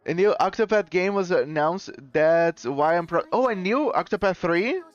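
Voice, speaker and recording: A man's voice: high-pitched, expressive, normal speed, no echo. A man chatting with his live-stream viewers in a slightly raised voice, rambling along and tripping over his own corrections with a laugh. The sound is a bit dull and lo-fi, with faint music running underneath and a dry, close feel. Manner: rambling, self-correcting, chuckling.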